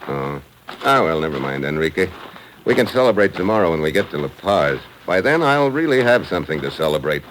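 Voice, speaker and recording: An actor playing a cool, laconic, wry investigator in a 1950s radio drama, with a deadpan delivery. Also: male voice, low voice, a little fast. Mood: calm, musing. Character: cool, wry tough-guy investigator hunting a culprit. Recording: noisy old radio recording.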